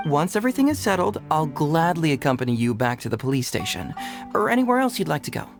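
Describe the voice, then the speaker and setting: An easygoing young detective from a video game, with a fairly low voice. The delivery is curious, playful and theatrical, with a smooth persuasive streak. Music plays softly behind. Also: female voice, sing-song, quick pace, full character voice. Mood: breezy, persuasive. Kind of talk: scripted video game dialogue.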